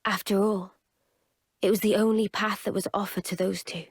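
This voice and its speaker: A woman playing an earnest, resolute young fighter in a video game, solemn and reflective, with a breathy, husky voice. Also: mid-range voice, level tone, normal speed, dry recording, studio quality. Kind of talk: dramatic video game narration.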